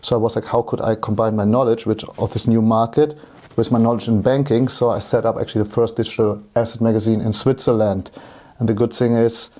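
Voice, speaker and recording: Mellow German man, relaxed talker. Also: on the low side, fairly quick. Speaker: podcast guest, entrepreneur. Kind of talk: casual interview storytelling.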